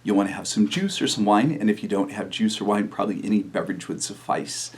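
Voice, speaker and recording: Middle-aged male pastor with a low voice, relaxed storytelling with fillers, for a video sermon. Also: fast talker, breathy edge. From New Mexico, USA.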